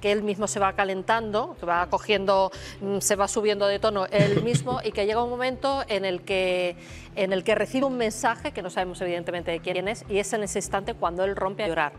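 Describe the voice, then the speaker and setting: A woman's voice: mid-range voice, steady tone, brisk. A woman on a TV talk show panel who insists on her points and recounts what sources told her. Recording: TV broadcast audio with faint noise.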